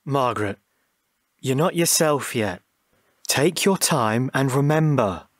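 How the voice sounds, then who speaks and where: An actor playing a gentle, anxious husband in a radio drama, speaking in a hesitant, halting way. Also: male voice, medium pitch, lively intonation, no echo, studio quality. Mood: pleading, halting. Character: gentle, patient, anxious husband pleading with his partner.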